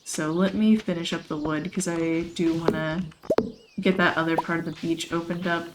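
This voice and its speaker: A chatty woman, somewhat hoarse from losing her voice, rambling through casual gameplay commentary with frequent laughs. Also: lowish voice, steady tone, moderate pace, background noise. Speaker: let's play host. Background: game music.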